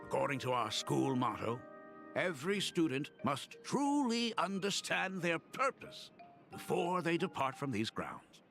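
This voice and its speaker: Wistful fatherly dean, gravelly, expressive intonation, formal and tender, game drama, music behind. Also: a little high, measured pace, heavily acted. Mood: warm, ceremonial. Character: gentle, wistful, fatherly older academy dean, regretful.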